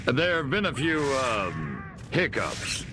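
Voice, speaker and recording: A man's voice: medium pitch, big pitch swings, slow pace, background noise. A man acting an evasive, smug government agent in a video game, dry and self-assured.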